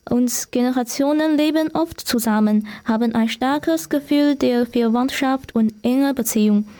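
A woman from China with a Chinese accent, a guest giving prepared answers on a student radio show, careful and with pauses. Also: sits high, warm tone.

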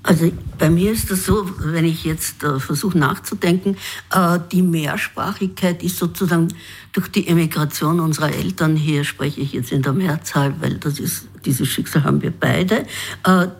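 A woman with a very low, husky voice, speaking as an interview guest. She thinks aloud, often pausing with fillers as she searches for her words. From Vienna, Austria. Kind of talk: reflective interview answer.

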